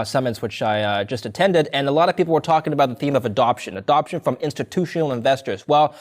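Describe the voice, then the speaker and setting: Brisk male news anchor. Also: mid-range voice. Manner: brisk, scripted-sounding introductions, conversational questions. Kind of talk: news interview.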